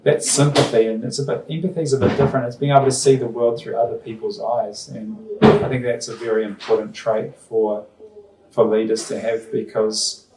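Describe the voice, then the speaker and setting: A man's voice: mid-range voice, steady tone, a little fast, heavy background noise. A middle-aged New Zealand man and school principal, answering interview questions thoughtfully with many ums and restarts. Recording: roomy sound from far off the mic.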